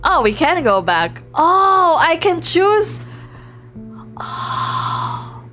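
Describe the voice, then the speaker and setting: Expressive woman streamer with music behind. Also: very high voice, very slow. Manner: expressive, giggly, stumbles over words while reading. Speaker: live streamer playing and reading a visual novel. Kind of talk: livestream reading aloud with casual reactions.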